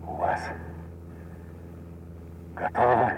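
An actor playing a gravely wounded, stubborn bomber commander in an audio drama, speaking in halting single words. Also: male voice, fairly low pitch, highs cut off. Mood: laboured, resolute. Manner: halting one-word utterances. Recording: faint aircraft hum behind.

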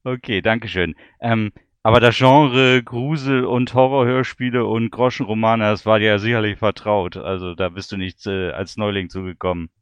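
Even-toned man hosting interviews. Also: on the low side, moderate pace, hardly any pauses, clear, resonant tone, no room sound, muffled recording. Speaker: radio show host interviewing a guest. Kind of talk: casual radio interview talk.

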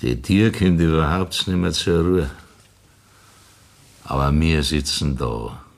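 An actor with a Bavarian accent playing a ponderous, unhurried tavern philosopher with a husky voice in a radio play. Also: male voice, a bass voice, heavily acted. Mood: musing. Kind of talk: 1960s Bavarian radio drama dialogue.

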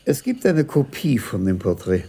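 An actor playing a patient, insistent police commissioner in a radio play, speaking in a measured, persuasive way. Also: male voice, mid-range voice, sing-song, mellow, husky. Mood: persuasive, firm.

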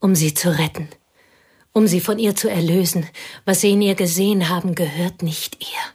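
A woman's voice: lowish voice, moderate pace, breathy edge. A bitter woman whispering confessions. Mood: grim. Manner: hushed, intense, often whispering. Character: bitter lonely woman confiding old betrayals. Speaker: actress. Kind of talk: radio play acting.

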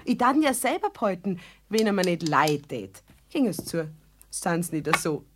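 A woman's voice: fairly low pitch, sing-song, normal speed, background noise. An actress playing a persistent, nosy, good-natured neighbour woman with a Bavarian accent in a children's radio play. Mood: insistent. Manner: chatty, insistent.

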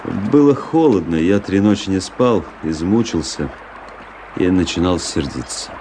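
A man's voice: sits low, expressive, a little slow, a little mellow, slight background noise. An actor in a radio play playing a weary, impatient army officer who speaks curtly and tells his own story. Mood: weary, irritated. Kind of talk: radio play acting with first-person storytelling.

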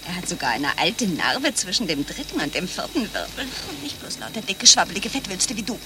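A woman's voice: mid-range voice, big pitch swings, brisk, natural voice. A woman playing a melodramatic, defiant captive in a satirical radio play, over noisy background ambience.

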